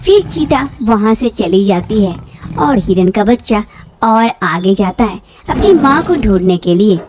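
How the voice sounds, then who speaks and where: A woman's voice: fairly high pitch, noisy background. A woman storyteller reading a scripted animal fable for children.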